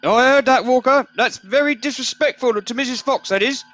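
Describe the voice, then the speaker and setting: A man's voice: very high-pitched, moderate pace, fairly bright tone, muffled recording, soft background music. A man in an amateur comedy radio play playing an eager, muddled old corporal who rambles and repeats himself deferentially. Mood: muddled, indignant.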